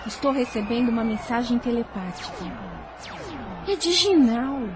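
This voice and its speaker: A woman playing a venerable spiritual leader in an audio drama, earnest, deliberate and formal. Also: a little high, lively intonation, over music, close to an everyday voice. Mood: earnest, concerned.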